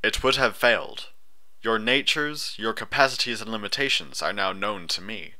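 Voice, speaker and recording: A man's voice: lowish voice, moderate pace, bright, forceful tone. A man voicing a dispassionate ghostly unicorn spirit, speaking in solemn pronouncements for an audiobook story. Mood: flat, dispassionate. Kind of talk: audiobook character voice.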